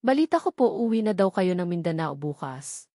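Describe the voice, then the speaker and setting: A woman's voice: on the low side, big pitch swings, a little fast, mellow, no echo, clean studio sound. A woman with a Filipino accent voicing a polite, hesitant young bride-to-be in a romance audiobook. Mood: polite, smiling. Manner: respectful toward elders.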